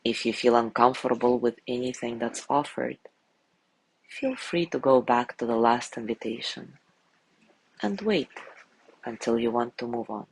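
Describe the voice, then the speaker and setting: A man guiding a sleep meditation in a gentle, soothing way, softly spoken and unhurried, with long pauses between phrases. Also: mid-range voice, animated, vocal fry, breathy edge, dry recording, low-quality recording. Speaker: meditation guide.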